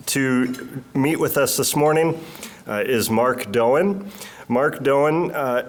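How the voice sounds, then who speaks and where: A man emceeing a public event, thanking sponsors and introducing speakers in an earnest way with many filler words. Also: mid-range voice.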